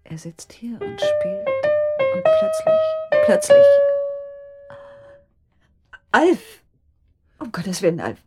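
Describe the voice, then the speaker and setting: An actress playing an anxious, shaken wife and mother in a radio drama, breathy and husky, halting and repeating her words with intensity. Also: medium pitch, huge pitch swings, over music, exaggerated voice. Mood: horrified, agitated.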